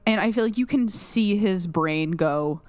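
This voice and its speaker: A woman co-hosting a podcast in casual chat, talking fast with lots of fillers and restarts. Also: mid-range voice, lively intonation, a little mellow, no echo. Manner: chatty, full of fillers and restarts. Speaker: podcast co-host.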